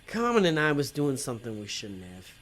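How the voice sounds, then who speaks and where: A man's voice: medium pitch, huge pitch swings, brisk, fairly bright tone. A man playing a conflicted, working-class demon tempter in an audio drama, talking in a colloquial, hesitant way. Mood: regretful.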